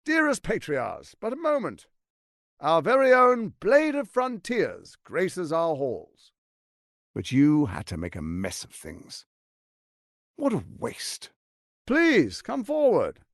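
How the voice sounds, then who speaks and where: Pompous scheming tyrant with wildly swooping delivery. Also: male voice, fairly high pitch, wildly swooping pitch, slow, vocal fry, no echo, clean studio sound, heavily acted. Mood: grandiose, then commanding, angry. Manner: pompous, theatrical, articulate, condescending. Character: scheming, silver-tongued tyrant archduke, grandiose and menacing. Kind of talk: theatrical video game voice acting.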